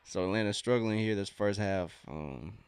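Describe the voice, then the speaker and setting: A man's voice: fairly low pitch, normal speed, clear, resonant tone, no echo. A thirty-year-old man hosting a sports watch-along stream, chatting casually in a laid-back, slangy way between bits of commentary. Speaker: livestream watch-along host.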